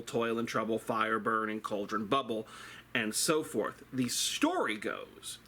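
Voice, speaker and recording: A man giving a dramatic storytelling lecture as a theatre teacher, speaking in a deliberate, theatrical way with rhetorical flourishes. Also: mid-range voice, sharp tone.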